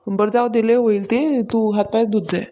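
A woman's voice: on the high side, fairly even intonation, moderate pace, warm tone, natural voice. A woman voicing a bossy, stingy, self-important mistress of the house in a cartoon comedy, nagging in colloquial Varhadi Marathi. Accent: Varhadi Marathi, rural Maharashtra.

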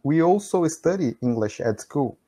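A man's voice: fairly high pitch, animated, normal speed, warm tone. A man in his thirties from Brazil, speaking English with a Brazilian Portuguese accent as a learner in casual conversation practice over a video call, laughing often and leaning on "you know". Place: small room at home, indoors.

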